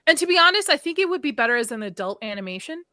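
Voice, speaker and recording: A woman's voice: high-pitched, very animated, rapid-fire, pushed and bright, dry recording, clean studio sound. A woman from Chicago hosting a casual discussion, blunt and laughing often, swearing freely. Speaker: podcast host.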